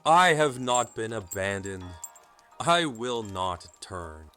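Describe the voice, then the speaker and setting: A man's voice: lowish voice, very animated, slow, sharp tone, soft background music, full character voice. A man playing a defiant, half-delirious captive fighter in an audio drama, speaking with ominous, taunting drama.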